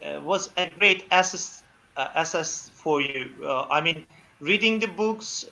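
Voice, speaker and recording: A man hosting a live practice stream, speaking haltingly with many fillers as he welcomes and questions a guest. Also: a little high, gravelly, bright, forceful tone, slight background noise. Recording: dull lo-fi stream audio.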